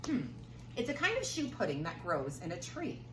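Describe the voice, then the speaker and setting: A woman voicing a confident, pompous wise man from a storybook, explaining things in a measured, knowing way. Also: fairly low pitch, huge pitch swings. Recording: roomy, distant, noisy room recording.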